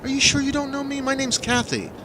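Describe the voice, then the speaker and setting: A man voicing a friendly, eager young woman in an audiobook story, his pitch raised well above his own, on a noisy recording. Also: steady tone, fast talker. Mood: bright, eager.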